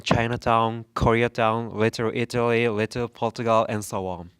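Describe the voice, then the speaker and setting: A man's voice: lowish voice, no echo. A male student from Japan giving read-aloud narration for a class project. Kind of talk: scripted narration.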